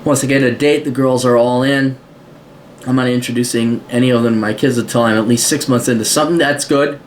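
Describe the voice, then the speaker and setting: A blunt, profane American man hosts a podcast, reading out a listener's letter and answering it in casual rants. His voice sits in an ordinary middle pitch. A faint hiss of noise runs under the recording. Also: fairly even intonation, brisk. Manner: blunt, swears often, mocking voices for asides. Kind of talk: casual profane advice monologue.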